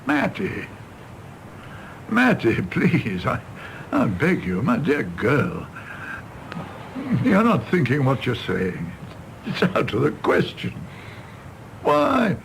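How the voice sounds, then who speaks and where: An actor playing a self-deprecating, hard-drinking older doctor in a radio drama, wry, theatrical and hesitant. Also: male voice, medium pitch, wildly swooping pitch, slow, creaky, long pauses, a bit bright, slight background noise, exaggerated voice, rough recording. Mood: flustered, gentle. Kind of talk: British radio drama acting.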